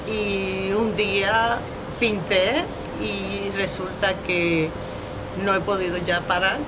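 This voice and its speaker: A middle-aged woman with a Dominican accent speaks in a conversational interview. Her pace is slow and reflective, with frequent pauses, and her pitch sits in a medium range. Loud ambient noise runs under the voice. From Dominican Republic. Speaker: visual artist, interview guest. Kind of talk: conversational interview about own art.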